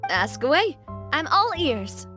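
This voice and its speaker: A woman's voice: sits high, very animated, slow pace, pushed and bright, faint music behind, exaggerated voice. A woman playing an upbeat, friendly young helper in a video game, with a bubbly, obliging manner. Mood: eager, supportive.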